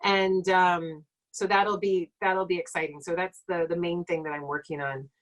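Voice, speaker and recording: Woman, late forties, Egyptologist on a home live stream; relaxed and digressive delivery with lots of fillers. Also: on the low side, normal speed, low-quality recording. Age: late 40s. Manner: chatty and digressive, many fillers, self-corrects. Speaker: Egyptologist giving a talk and Q&A. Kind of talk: casual lecture and Q&A on a live stream.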